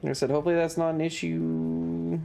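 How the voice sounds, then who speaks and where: A livestream host talks casually through a game with plenty of swearing. The voice sits in a middle register, creaky and very animated in pitch, with music playing underneath. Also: brisk. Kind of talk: casual gaming stream chat.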